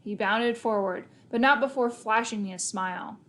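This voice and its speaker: A woman's voice: a little high, moderate pace, fairly bright tone. A woman narrating a children's novel aloud in the first person, expressively, with emphasis on key words. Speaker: storybook reader.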